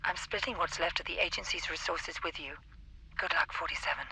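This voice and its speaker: An actor playing a weary, businesslike agency handler in a video game, briefing in a grave, matter-of-fact way. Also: male voice, on the high side, brisk, a crowd in the background, close to an everyday voice, poor audio. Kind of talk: video game cutscene acting.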